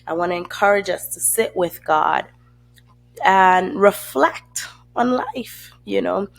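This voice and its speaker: A woman hosting a faith podcast, her voice raspy, dry and hoarse from a cold, talking earnestly and reflectively with many fillers and restarts. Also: medium pitch, takes it slowly. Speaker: podcast host. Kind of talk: casual faith podcast talk.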